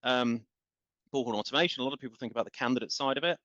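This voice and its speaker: A man talks with passion in long, rambling explanations, stumbling over repeated words as he builds his point. His voice is mid-pitched. The recording is dry and dull, with a lo-fi edge. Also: very fast, fairly bright tone, no room sound. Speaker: guest, marketing company founder. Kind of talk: business podcast interview.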